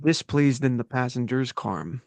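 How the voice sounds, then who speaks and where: A man reading the stage directions of a script at a table read, steady and matter-of-fact. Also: medium pitch, measured pace, no echo.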